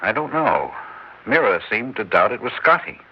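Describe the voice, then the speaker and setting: An actor playing a stern chief who gives clipped orders, in a 1960s radio drama. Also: male voice, lowish voice, big pitch swings, normal speed, a little hiss or hum. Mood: brisk. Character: stern intelligence chief, brisk and commanding. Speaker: chief of an agency. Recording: muffled old radio broadcast.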